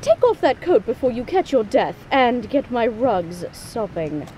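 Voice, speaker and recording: Audio drama housekeeper pony: motherly nag, lively swooping pitch, drawn-out words, noisy backdrop. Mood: fussing. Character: fussy, scolding female pony housekeeper. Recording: noisy background.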